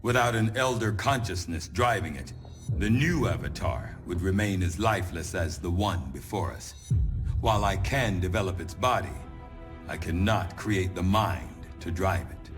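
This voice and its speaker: An actor playing a formal, analytical scientist in a video game cutscene, speaking precisely and with measure. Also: male voice, low voice, normal speed, natural voice. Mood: measured, serious. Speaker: chief scientist. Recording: music behind the voice.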